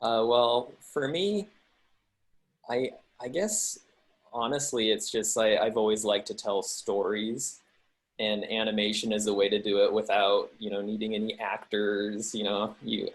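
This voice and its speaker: A man who works as an animator and musician, answering panel questions over a video call in a halting, hesitant way. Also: on the low side. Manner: halting, hesitant, self-correcting.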